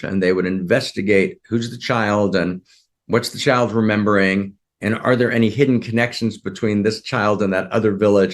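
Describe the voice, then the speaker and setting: A man giving an earnest teaching session to a group, often repeating himself and checking that his listeners are following. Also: lowish voice. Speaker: spiritual teacher.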